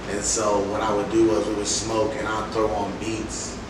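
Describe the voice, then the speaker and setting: A young man with a lowish voice tells stories in loose slang, acting out the dialogue as he goes. He is a rapper in an interview, recorded at a distance in a heavily echoing space with loud noise. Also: normal speed. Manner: animated slangy talk, acting out quoted dialogue. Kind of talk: casual storytelling in an interview.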